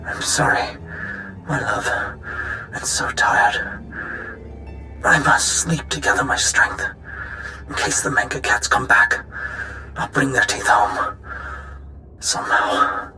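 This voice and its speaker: Weary dying husband, echoing. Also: male voice, mid-range voice, steady tone, sharp tone. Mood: fading, exhausted. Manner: halting, tender farewell. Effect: holographic recording. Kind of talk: dramatic video game acting. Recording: echoing holo message, music behind.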